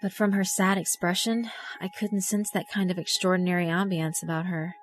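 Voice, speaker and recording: A woman's voice: fairly low pitch, flat delivery, moderate pace. A woman reading scripted first-person story narration for a visual novel. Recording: music behind the voice. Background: mallet and glockenspiel music.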